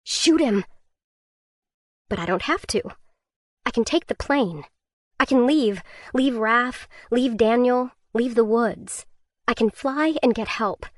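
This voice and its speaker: A woman narrating a first-person survival thriller audiobook in a tense, measured way. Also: a little high, no echo, studio quality.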